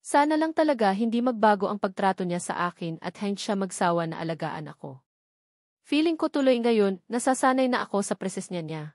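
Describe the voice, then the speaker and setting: A woman's voice: medium pitch, lively intonation, fairly quick, darkish tone, no echo, clean studio sound. A woman with a Filipino accent reading a first-person romance story as a scripted audiobook narration.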